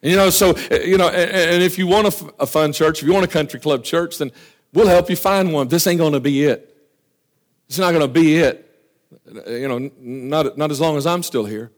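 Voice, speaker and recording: A man preaching a sermon with fervor, often dropping to quiet asides. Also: a little high, fairly quick. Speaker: pastor. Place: church.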